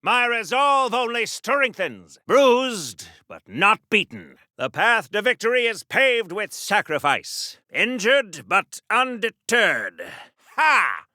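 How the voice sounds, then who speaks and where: A golden-age superhero for a video game, all melodrama and patriotic bluster. His voice is piercingly bright and swoops widely in pitch. He declaims and wisecracks like a 1940s radio serial hero, recorded very dry. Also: sing-song, slow pace, no room sound, full character voice. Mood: defiant, melodramatic. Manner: theatrical, declamatory, corny wisecracking.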